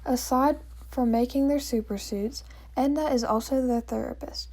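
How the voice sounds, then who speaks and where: A woman narrating a scripted story, reading it aloud in a plain, measured way. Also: high-pitched, fairly even intonation, darkish tone.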